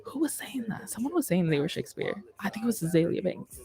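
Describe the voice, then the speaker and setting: A woman's voice: mid-range voice, sing-song, quick pace, mellow. Young woman hosting a casual chat show. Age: young adult. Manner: informal, rambling, uses slang and "like". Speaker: radio show host. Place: indoor studio with curtains. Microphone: close studio microphone on boom arm, headset on.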